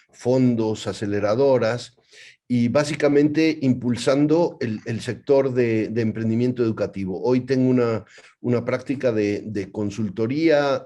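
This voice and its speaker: A Mexican man speaks with a Mexican accent in a mellow, warm tone at a middling pitch. He introduces himself as a webinar panelist over a video call, often repeating words as he builds his sentences.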